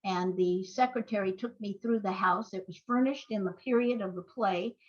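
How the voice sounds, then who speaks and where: A woman's voice: medium pitch, moderate pace, darkish tone. Older woman storyteller on muffled audio. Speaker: guest playwright. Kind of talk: anecdotal podcast interview talk.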